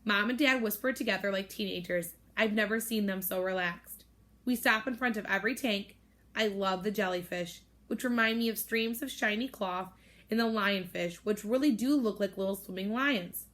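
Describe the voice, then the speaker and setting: A woman teacher reading a novel aloud to her students, with expressive narration. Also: normal speed. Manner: expressive, raises pitch for girls' voices. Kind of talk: storybook read-aloud with character voices.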